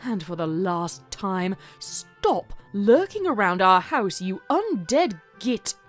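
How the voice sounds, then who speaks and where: Exasperated mare, acted by a woman, audio drama, snappish, indignant, animated pitch, measured pace. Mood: irritated, snappish. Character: irritated adult mare, snappish exasperated wife. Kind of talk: fan fiction audio drama acting. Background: music.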